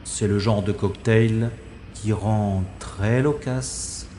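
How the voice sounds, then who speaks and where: A man playing a sardonic special agent in a science-fiction audio drama, with a mocking, theatrical and deliberate delivery. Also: lowish voice, mellow, slight background noise. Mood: musing, scornful. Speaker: lead actor and narrator of audio drama. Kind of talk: science-fiction audio drama acting with inner-monologue narration.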